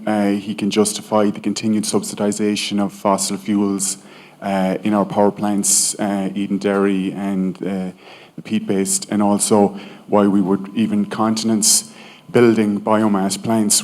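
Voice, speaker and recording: Low monotone guy in the crowd, grilling the panel, very pointed. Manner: pointed, challenging. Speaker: audience member asking a question. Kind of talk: conference question.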